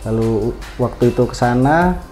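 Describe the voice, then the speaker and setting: A man giving brief, plain, halting answers as a guest in a casual interview. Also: medium pitch, darkish tone. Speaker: podcast guest, IT security worker. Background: music.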